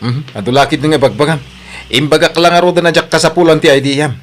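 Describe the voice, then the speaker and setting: A man's voice: a little high, fairly even intonation, fast, natural voice. A man with an Ilocano Filipino accent playing an arrogant, newly rich vlogger who talks brashly and dismissively in an audio drama.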